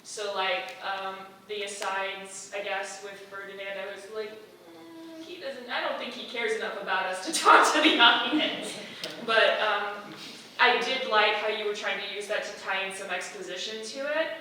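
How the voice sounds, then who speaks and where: A woman's voice: medium pitch, moderate pace, bright, forceful tone. A woman speaking thoughtfully and articulately as she gives casual feedback in a group discussion. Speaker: audience member giving feedback. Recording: echoey room from far off, faint noise.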